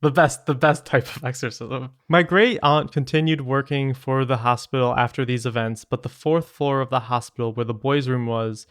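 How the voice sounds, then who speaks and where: A man's voice: medium pitch, fairly even intonation, normal speed, few pauses, fairly bright tone, dry recording, clean studio sound. A man co-hosting a podcast, reading listener stories aloud and chatting casually with joking asides. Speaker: podcast co-host.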